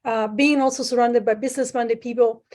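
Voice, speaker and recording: Sicilian-born woman on a call, chatty and hesitant, lots of ums, voice sits a bit high. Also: fairly even intonation, brisk, darkish tone. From Sicily, Italy. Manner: hesitant with many fillers, plain speech. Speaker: guest, private lender. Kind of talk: casual interview. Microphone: remote call.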